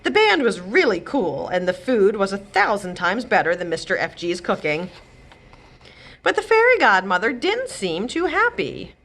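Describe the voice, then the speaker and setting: A woman reading a children's chapter book aloud as a storyteller, with expressive delivery. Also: medium pitch, moderate pace. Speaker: librarian host and storyteller. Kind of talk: children's read-aloud narration.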